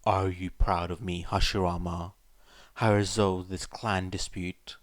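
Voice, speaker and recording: A man voicing a weary, proud old retired clan leader in a slow, wry, reflective way for a fan fiction reading. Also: low voice, level tone, no room sound, pitched below the performer's own voice. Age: elderly.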